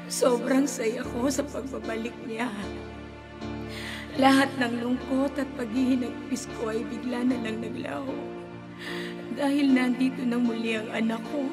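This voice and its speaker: A woman's voice: fairly high pitch, fairly even intonation, unhurried, loud music behind the voice. An actress playing a grieving grandmother who pleads with her dead daughter in a radio drama. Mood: pleading. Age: older. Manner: emotional, pleading.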